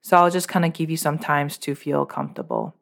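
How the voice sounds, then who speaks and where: A woman with a low voice leads a muscle relaxation meditation. She gives calm, unscripted instructions, with loose fillers and repeated cues. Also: fairly quick, creaky. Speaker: meditation guide.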